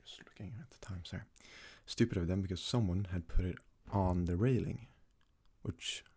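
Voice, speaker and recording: A young man from Sweden, a native Swedish speaker, talks casually in a soft-spoken, hesitant ASMR style as he answers questions. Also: deep voice, normal speed, slightly rough. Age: young adult. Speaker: ASMR creator.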